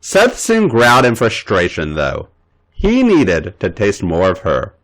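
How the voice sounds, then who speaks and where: Man, podcast host, story reading, pitch swooping widely. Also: wildly swooping pitch, a little slow, a bit bright, slight background noise. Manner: voices characters' lines with big pitch jumps.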